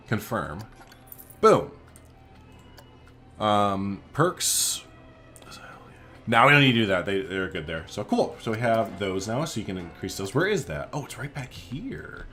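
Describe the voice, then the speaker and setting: Playful guy doing a lets play, lowish voice that swings around a lot, music behind. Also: big pitch swings, moderate pace, long pauses, fairly bright tone. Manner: chatty, playful, giggly, thinks aloud. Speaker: gaming video host. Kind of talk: casual let's play commentary.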